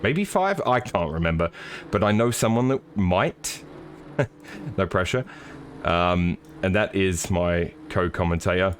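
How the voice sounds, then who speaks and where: Hoarse, joking male race commentator. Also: low voice, a little slow, slight background noise. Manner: relaxed, joking, with many fillers. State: slightly hoarse. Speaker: sim racing commentator. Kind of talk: live stream race commentary.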